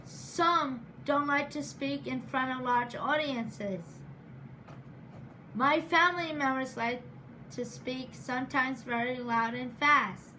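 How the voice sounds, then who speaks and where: A woman giving a prepared speech in a deliberate, measured way, stuttering often with repeated sounds and words. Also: high-pitched, sharp tone, a little hiss or hum, poor audio. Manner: stutters with sound and word repetitions. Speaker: program graduate giving a speech.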